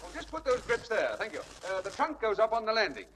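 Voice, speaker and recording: A breezy, lively-voiced playboy charmer. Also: male voice, sits high, quick pace. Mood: breezy, cheerful. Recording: old radio broadcast with loud music.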